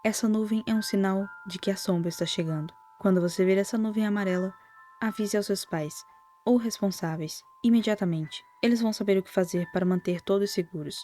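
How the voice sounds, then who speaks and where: Brazilian female narrator, dark mellow tone, soft-spoken and wry, scripted horror commentary over faint music. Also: medium pitch, brisk. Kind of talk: whispered-style scripted narration, storytelling commentary.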